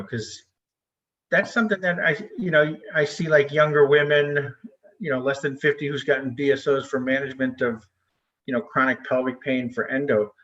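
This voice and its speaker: A middle-aged man hosting a casual medical discussion, asking informal, halting questions over a muffled video call. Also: fairly high pitch, a bit bright. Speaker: host, gynecologic surgeon. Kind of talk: casual interviewing.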